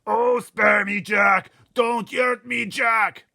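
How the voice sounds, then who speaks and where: Storybook giant: massive brute in terror, high strained bellow, rough and drawn-out. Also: male voice, fairly even intonation, pushed and bright, dry recording, shouting, studio quality, heavily acted. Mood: pleading, terrified. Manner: bellowing, screaming, drawn-out words. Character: terrified gigantic brutish man-eating giant, bellowing for mercy. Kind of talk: dramatic children's storybook read-aloud.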